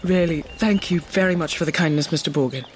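An actor playing a humble, grateful newcomer in a fantasy audio drama, speaking politely and eagerly. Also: male voice, a little high, fast, background noise, natural voice. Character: humble, grateful second-born newcomer seeking work.

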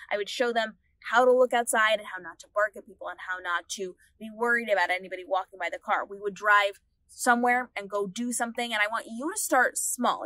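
A woman's voice: a little high, no room sound, low-quality recording. A woman in her thirties giving earnest dog-training advice from the back seat of a parked car, filmed at arm's length. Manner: earnest, steady advice-giving with repeated phrasing. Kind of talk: instructional vlog talk. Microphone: phone or camera at arm's length.

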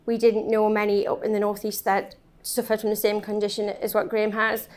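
A woman's voice: mid-range voice, monotone, brisk, a little mellow. A woman who runs a support group, telling its story plainly on a panel with a handheld mic in a conference room. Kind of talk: panel discussion talk.

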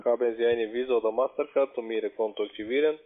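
A man's voice: medium pitch, steady tone, quick pace. Salesman on tinny phone line. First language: not German. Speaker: call centre account manager. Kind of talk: scripted phone sales pitch.

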